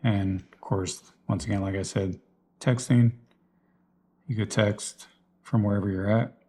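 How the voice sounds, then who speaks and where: A man's voice: lowish voice, steady tone, measured pace, mellow. A man giving a casual, unscripted walkthrough of his gear in a plain, explanatory way, like a vlogger. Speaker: vlogger presenting personal radio gear.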